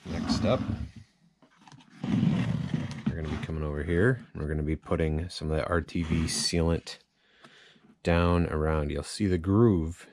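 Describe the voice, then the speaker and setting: A man's voice: low voice, darkish tone. A man giving a casual hands-on repair tutorial, thinking aloud informally as he works at a workbench. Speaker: chainsaw repair demonstrator. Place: cluttered indoor workshop at a workbench.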